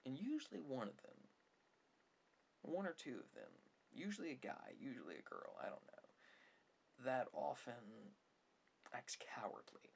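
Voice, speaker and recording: A soft-spoken ASMR creator giving rambling, opinionated, mildly sarcastic film commentary, at times dropping to a whisper. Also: mid-range voice, gravelly, a little hiss or hum.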